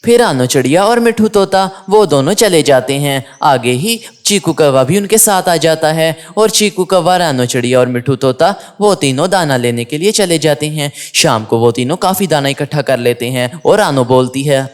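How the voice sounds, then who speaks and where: Mid-pitched storybook narrator of unclear sex. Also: lively intonation, normal speed, few pauses, faint music behind. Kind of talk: scripted children's story narration.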